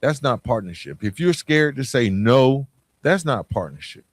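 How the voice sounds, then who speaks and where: A man's voice: mid-range voice, lively intonation, moderate pace, no room sound. A man hosting a podcast, giving a motivational talk straight to listeners in an emphatic, direct way, repeating phrases for weight. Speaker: podcast host.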